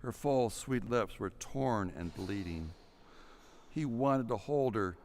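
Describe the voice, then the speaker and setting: A man's voice: lowish voice, expressive, slow, a little mellow, slight background noise. A man narrating an audio drama, reading a scripted story in a dramatic storytelling style. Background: music.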